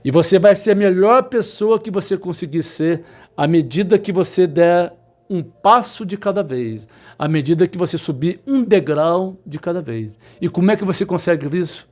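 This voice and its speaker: A man's voice: high voice, a little mellow. A man, an electronics instructor giving a motivational talk to students, repeating his points and stressing words insistently. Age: 50s. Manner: repetitive, emphatic, insistent, with sudden slow stressed words.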